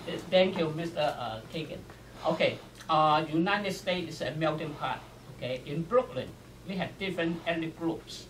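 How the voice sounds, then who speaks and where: An older man speaking earnestly as an educator at a forum, in short, emphatic, halting phrases. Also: a little high, fairly bright tone. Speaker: educator and community panelist. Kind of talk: earnest public remarks at a forum. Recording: roomy, distant mic, faint noise.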